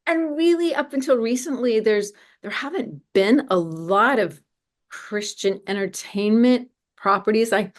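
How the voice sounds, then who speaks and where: A woman's voice: fairly high pitch, measured pace. Enthusiastic woman being interviewed. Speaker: guest, media ministry representative. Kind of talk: promotional interview talk.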